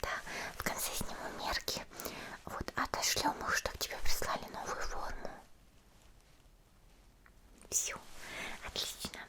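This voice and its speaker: A woman playing a cheerful, caring young female demon slayer in a soft, chatty whispered ASMR roleplay. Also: measured pace, pauses often, lightly acted. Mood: helpful, caring. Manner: gentle, chatty, hesitant little murmurs.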